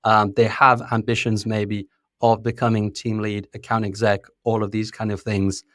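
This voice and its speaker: A man's voice: fairly low pitch, level tone, normal speed, fairly bright tone, no echo, studio quality. A man hosting a podcast, asking casual interview questions in a friendly way and laughing often. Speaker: podcast host.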